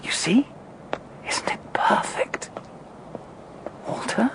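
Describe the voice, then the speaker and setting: An actor playing a scheming, exultant gentleman plotting murder in a radio drama, with a theatrical, coaxing, intense delivery. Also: extremely slow, whispered, rough recording. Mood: urgent, gleeful.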